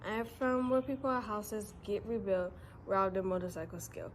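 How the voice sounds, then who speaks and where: A young girl student reading her own poem aloud, steady and careful. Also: mid-range voice, normal speed. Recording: faint background noise.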